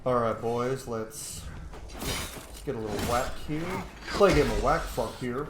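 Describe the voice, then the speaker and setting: A man's voice: mid-range voice, a little slow. A man giving casual, rambling, opinionated gameplay commentary, with some swearing. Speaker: gaming video host. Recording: roomy echo, faint background noise.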